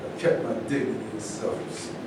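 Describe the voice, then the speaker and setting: A man's voice: steady tone, fairly quick, close to an everyday voice. A man playing a proud, defiant character in a stage play reading, with a blunt, challenging delivery. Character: proud, defiant man who bows to nobody. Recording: distant mic, heavy echo, noisy rumble.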